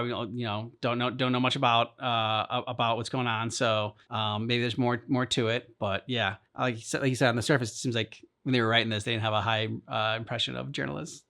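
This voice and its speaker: A man's voice: medium pitch, bright, forceful tone, smooth. A man co-hosting a reaction show, giving casual, rambling commentary as he thinks aloud.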